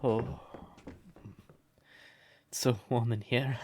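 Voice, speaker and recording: A man playing a shy, sweet, curious crow turned human in a roleplay audio, speaking gently and breathily with hesitant stammers. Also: medium pitch, fairly even intonation, very slow, slightly rough. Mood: shy, grateful. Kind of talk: soft roleplay audio, intimate character acting.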